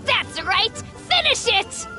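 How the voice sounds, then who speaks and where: A woman voicing a cocky, excitable little child in a video game, exclaiming with confident, childlike glee. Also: very high-pitched, slow pace, soft background music, exaggerated voice. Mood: triumphant.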